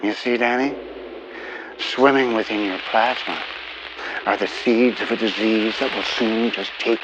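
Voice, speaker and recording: An actor playing a menacing, grandiose politician in a 1950s-set audio drama, speaking oratorically and emphatically through a telephone filter. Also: male voice, fairly low pitch, steady tone, unhurried, highs cut off, background noise. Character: menacing, grandiose male politician scheming for power.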